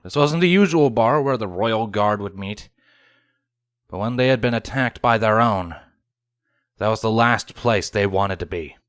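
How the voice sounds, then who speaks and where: A man's voice: on the low side, animated, a little slow, fairly bright tone, no room sound, studio quality. A man reading a scripted story aloud as its narrator. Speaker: fan fiction reader.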